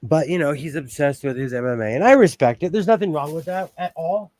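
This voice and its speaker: Man, middle-aged, Southern Californian accent, slightly high voice, rambling livestream host, dry sound. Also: brisk, a little mellow, no room sound. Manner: rambling, chuckles often, greets viewers by name. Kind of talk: casual chat while sewing, reading chat.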